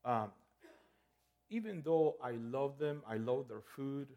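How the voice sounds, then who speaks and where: A man's voice: medium pitch, slow, dark tone, highs cut off. A man from El Salvador, a guest preacher giving a playful, conversational sermon with frequent restarts and "okay?" checks.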